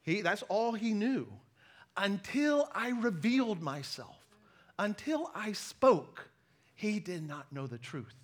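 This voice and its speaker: A middle-aged American man giving a sermon with personal stories, conversational but emphatic, pausing deliberately between phrases. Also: sits high, sing-song. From United States. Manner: conversational, emphatic with deliberate pauses, self-deprecating. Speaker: preacher, former missionary.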